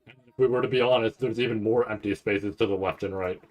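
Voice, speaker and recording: A man giving casual, rambling live commentary as a streamer, halting and restarting often as he thinks aloud. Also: on the low side, steady tone, lo-fi sound. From Canada. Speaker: streamer reacting to a live anime event.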